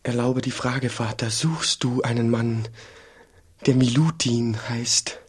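An actor playing an obedient, devout monk in a German radio play, earnest and deferential, with a slightly husky voice. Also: male voice, medium pitch, steady tone, slow pace. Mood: reverent. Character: humble, obedient young monk, devout and penitent.

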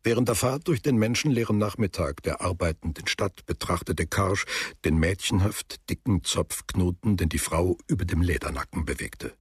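A man narrating a literary radio drama as a sober, detached storyteller, with a deep and very husky voice. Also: slight background noise.

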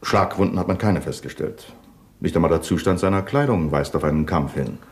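An actor playing a calm police inspector who asks measured, dryly probing questions in a 1970s radio drama. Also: male voice, sits low, natural voice.

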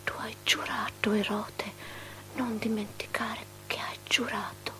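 An actress playing a cold, stubborn young princess in a theatrical radio drama, speaking in a breathy, insistent whisper. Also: female voice, a little high, level tone, sharp tone. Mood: implacable demand. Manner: slow, insistent, deliberate. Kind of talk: avant-garde theatrical radio drama acting.

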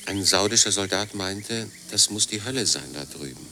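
A man's voice: lowish voice, expressive, moderate pace, sharp tone. A man playing a sombre war chronicler in scripted radio documentary narration.

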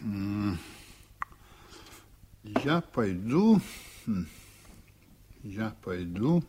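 An actor playing a weary, brooding middle-aged ship captain in an old radio drama, speaking heavily and thoughtfully. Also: male voice, lowish voice, big pitch swings, very slow, warm tone, a little hiss or hum, heavily acted. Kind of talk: 1980s radio drama acting.

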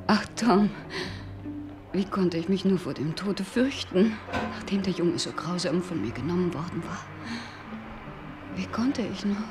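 An actress playing a desperate, clinging wife in a radio drama, pleading breathlessly between whispers and cries. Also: female voice, lowish voice, a little slow, long pauses, over music, lightly acted. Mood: grieving. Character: desperate, frantic, clinging wife who has killed her husband.

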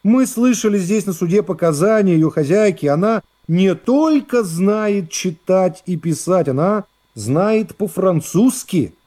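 Male actor as pompous prosecutor, radio drama, high mellow voice, stretched oratorical phrasing, smug, foolish. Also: lively intonation. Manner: oratorical, drawn-out words and affected pauses. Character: pompous, self-satisfied, dim-witted male assistant prosecutor orating in court.

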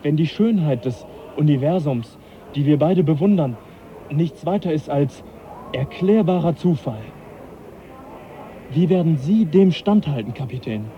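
A courteous, earnest scientist in a radio play, arguing with measured logic and dry irony. The voice is on the high side, on a slightly dull recording with faint noise. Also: male voice, expressive. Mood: earnest, insistent. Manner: measured, reasoning, dryly ironic. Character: polite, earnest, quietly provocative young naturalist. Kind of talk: radio drama acting.

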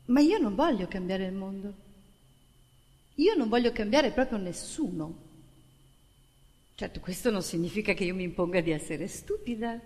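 A woman's voice: mid-range voice, a little mellow, pitched below the performer's own voice, lightly acted. Woman acting a subdued, earnest idealist, reverberant. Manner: subdued, sincere, defensive. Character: earnest, hurt, idealistic woman performer defending herself. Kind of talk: theatrical dialogue.

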